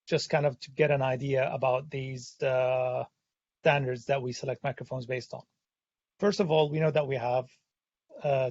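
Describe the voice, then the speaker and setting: Hoarse man presenting a webinar. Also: mid-range voice, a little mellow, dry recording, lo-fi sound. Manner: hesitant, many "uh" fillers. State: hoarse, has lost his voice. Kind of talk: technical webinar explanation. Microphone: studio condenser microphone.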